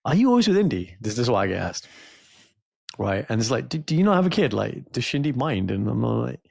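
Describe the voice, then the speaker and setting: A man co-hosting a casual podcast, telling stories in an animated, jokey way. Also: medium pitch, a little fast, vocal fry, husky, no room sound, clean studio sound. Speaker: podcast co-host.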